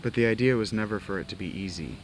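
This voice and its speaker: Rambling, low-pitched man gaming. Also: fast talker, a little hiss or hum, poor audio. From Ohio, USA. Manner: rambling, nostalgic, swears casually. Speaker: let's play gamer. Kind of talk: casual gaming commentary.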